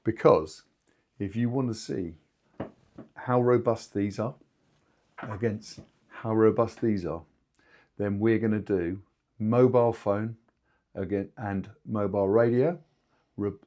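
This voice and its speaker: A man co-hosting a casual business discussion as an expert, speaking in informal, halting phrases. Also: on the low side, a little mellow. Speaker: podcast co-host and two-way radio expert.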